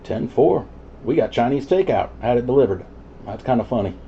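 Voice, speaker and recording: A man's voice: mid-range voice, big pitch swings, brisk. A man chatting casually as a CB radio operator. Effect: CB radio transmission. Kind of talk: casual chat over CB radio. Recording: muffled CB radio audio with static. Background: radio static.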